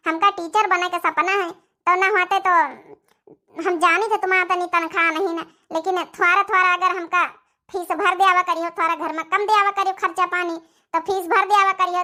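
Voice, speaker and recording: A woman's voice: extremely high pitch, sharp tone. A woman voicing an earnest, deferential young bride in an Awadhi-accented comedy cartoon. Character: young new bride, earnest, deferential, simple-minded cartoon daughter-in-law.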